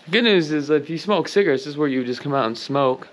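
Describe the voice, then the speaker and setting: A man's voice: a little high, lively intonation, fast, slight background noise. A man giving a casual, enthusiastic walkthrough of a house for sale, pointing out details as he films on a handheld camera. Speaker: real estate agent giving a home tour. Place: empty house, large bare rooms. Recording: long reverb of empty rooms.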